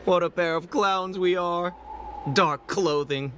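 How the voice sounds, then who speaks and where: A man playing an excitable, self-mocking comic performer from a bumbling duo in a video game. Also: high voice, takes it slowly, slight background noise. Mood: wry, rueful. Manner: theatrical, wry, self-mocking. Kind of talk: game voice acting.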